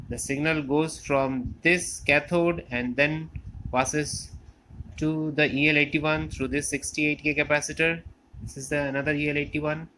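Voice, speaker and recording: A man giving an unscripted technical walkthrough as a hobbyist, explaining step by step in a halting way and repeating phrases. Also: fairly high pitch, bright, forceful tone, low-quality recording. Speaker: electronics hobbyist demonstrating a restored amplifier. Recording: clear background noise with clicks.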